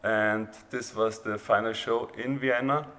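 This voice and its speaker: A man with an Austrian accent, a native German speaker, giving an informal, halting presentation of his own art project. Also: fairly low pitch, fairly even intonation, bright, forceful tone. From rural Austria near the Hungarian border. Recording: reverberant hall, background noise.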